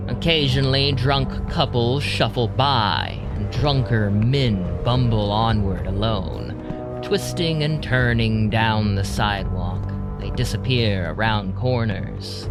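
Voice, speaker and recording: A man narrating an audio drama in a deliberate, brooding, scripted storytelling style. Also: on the low side. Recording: loud music bed under voice.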